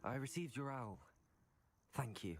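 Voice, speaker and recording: A man's voice: mid-range voice, measured pace, heavy background noise, natural voice. A man playing an earnest, cautious teenage wizard student, the hero of a video game. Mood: explaining, uneasy. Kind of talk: scripted video game dialogue and combat calls.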